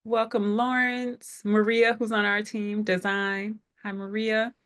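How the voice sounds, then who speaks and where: A woman's voice: mid-range voice, little pitch movement, takes it slowly, fairly bright tone. A woman hosting an online group call, welcoming people in an upbeat way with frequent fillers. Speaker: head of operations, meeting host.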